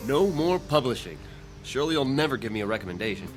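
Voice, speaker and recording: Man acting stubborn everyman, blunt, sardonic, very animated intonation, mid-pitched, radio play, light music underneath. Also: quick pace, close to an everyday voice. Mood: wry, guarded. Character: skeptical, stubborn, wisecracking out-of-work publishing man.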